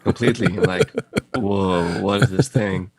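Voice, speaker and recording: A middle-aged man from Moldova with a mixed Russian and Israeli accent, talking haltingly in a casual interview, with many fillers and restarts. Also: sits low, darkish tone, dry recording. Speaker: guest, audio company founder.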